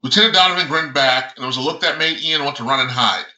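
A man's voice: medium pitch, fast talker, pushed and bright. A man, an author reading his own science fiction novel aloud as dramatic narration, stumbling over a word now and then. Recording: roomy, echoing sound.

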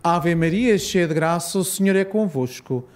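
A man with a European Portuguese accent leading a rosary, reciting solemn prayers in a measured, reverent way. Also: fairly high pitch, animated.

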